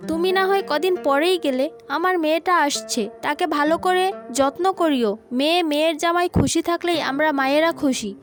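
A woman's voice: sits high, brisk, faint music behind, lightly acted. A woman voicing a stern, bossy older village mother-in-law in a cartoon family drama, with an insistent manner. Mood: bossy, dismissive. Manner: commanding, insistent.

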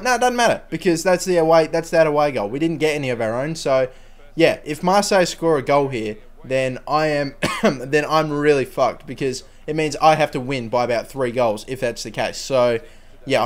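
Hoarse young man commentating a football game. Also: a little high. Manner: rambling, excitable at goals, swears casually. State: sore throat, losing his voice. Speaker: gaming channel host. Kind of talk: casual gameplay commentary.

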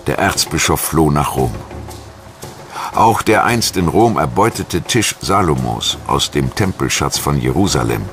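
A man reading scripted historical documentary narration in a measured, solemn and formal manner. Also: rumbling low, expressive, fairly bright tone. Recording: music behind the voice. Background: background music.

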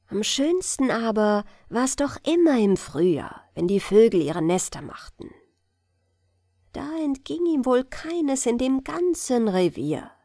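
A woman with a German accent reading a fairy tale aloud in a calm, gentle bedtime style, in a lilting storyteller manner. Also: fairly high pitch, no room sound, clean studio sound. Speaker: audiobook reader.

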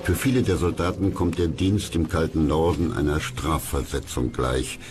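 A man narrates a documentary from a script. His voice is extremely low in pitch. Music plays clearly underneath him. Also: fairly even intonation, moderate pace.

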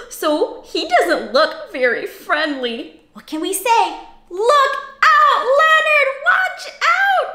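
A young adult woman reading a picture book aloud to young children in an animated, exclamatory, questioning way. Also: very high voice. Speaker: children's read-aloud host. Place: small cozy indoor room. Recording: roomy indoor echo.

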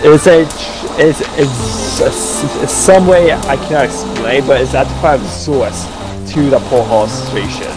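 A man's voice: mid-range voice, unhurried, over music, natural voice, poor audio. A man playing an evasive, mysterious almighty being in an improvised game roleplay, giving short cryptic answers.

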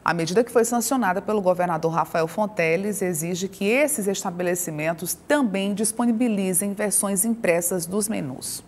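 A woman with a Brazilian accent anchors the news from a studio. She reads in a brisk, polished manner at a middle pitch, close on a lapel mic. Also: darkish tone, a little hiss or hum. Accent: Brazilian Portuguese.

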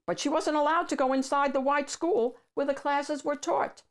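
An older woman reads a picture book aloud as a host for children, with deliberate, emphatic phrasing. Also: high-pitched, steady tone. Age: older, 60s or above. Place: small room at home with bookshelves.

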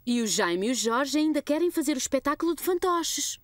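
A woman playing a caring mother of small children in a cartoon, gentle and coaxing, with a European Portuguese accent. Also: high-pitched, animated, fairly quick, dry recording. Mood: reassuring.

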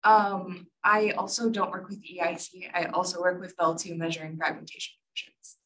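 Calm woman, heard from a distance. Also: lowish voice, normal speed. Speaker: summer school student. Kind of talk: self-introduction.

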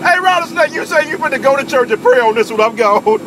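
A Southern US man bantering on CB radio in an animated, teasing way, repeating himself. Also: very high voice, fast talker, over a noisy crowd. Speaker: CB radio operator. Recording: very noisy CB radio transmission.

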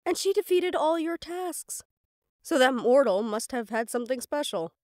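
A woman's voice: sits high, animated, normal speed, no echo, clean studio sound. A woman playing a stubborn, sarcastic young goddess in an audio roleplay drama, teasing and defiant.

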